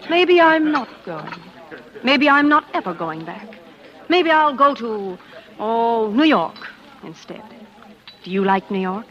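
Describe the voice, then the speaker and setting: An actress playing a determined young wife who coyly poses as a sweetheart in a 1940s radio drama, hesitant and coaxing. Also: female voice, fairly high pitch, very animated, slow pace, fairly bright tone, heavily acted. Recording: dull vintage radio recording with music.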